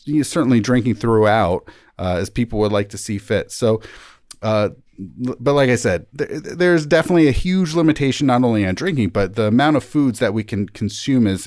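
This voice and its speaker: A man's voice: mid-range voice, a little mellow, no room sound, clean studio sound. A man speaking as a rabbi guest on a podcast, thinking aloud hesitantly in casual conversation.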